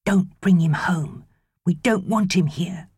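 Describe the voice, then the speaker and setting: A woman's voice: lowish voice, slow pace, a little mellow, husky, dry recording, clean studio sound, voice pushed up, full character voice. A woman voicing a small, sullen, lonely girl with childlike delivery, in an audiobook story. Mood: angry.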